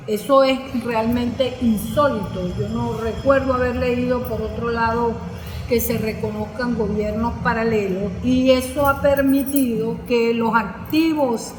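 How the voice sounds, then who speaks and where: A woman with a Venezuelan Spanish accent speaking on a panel in a deliberate, halting way, searching for words. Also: a little high. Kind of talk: panel speech. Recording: echoey hall, faint background noise.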